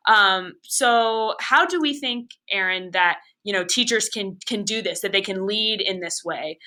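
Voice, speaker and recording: A woman's voice: mid-range voice, sharp tone. A woman interviewing a guest in casual conversation, chatty, with many fillers.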